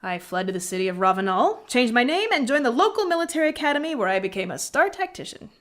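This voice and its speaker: Scornful, sharp-tongued woman tactician. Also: on the high side, big pitch swings, fast talker. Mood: stern, contemptuous. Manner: curt, sardonic, lecturing, articulate. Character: proud, sharp-tongued female rebel military tactician, stern and scornful. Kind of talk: scripted video game character acting.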